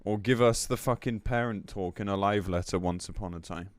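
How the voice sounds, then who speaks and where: A man with a fairly low voice talks as a live streamer. His delivery is casual and blunt, with an exasperated edge and loose swearing. The sound is close and dry, with very little room in it. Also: brisk, no echo. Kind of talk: casual live-stream commentary with read-aloud announcement.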